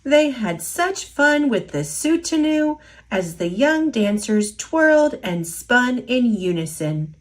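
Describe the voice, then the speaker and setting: A woman reading a children's picture book aloud in an expressive storytelling voice. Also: fairly high pitch, slow, hardly any pauses.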